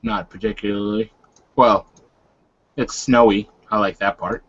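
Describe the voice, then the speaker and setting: A man playing a gruff, sardonic male werewolf warden in a tabletop game, with a dry delivery. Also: lowish voice, fairly even intonation, measured pace, muffled recording.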